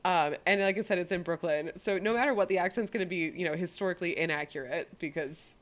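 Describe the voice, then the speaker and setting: A woman's voice: fairly low pitch, quick pace. A woman co-hosting a podcast in casual chat. She swears freely and often restarts her sentences. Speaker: podcast co-host.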